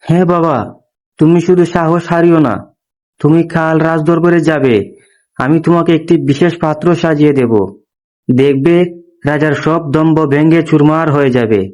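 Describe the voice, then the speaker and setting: A man voicing a wise, brave young woodcutter's daughter, calm and earnest, in a cartoon. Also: on the high side, moderate pace, lightly acted. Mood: reassuring. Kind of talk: moral story cartoon dialogue.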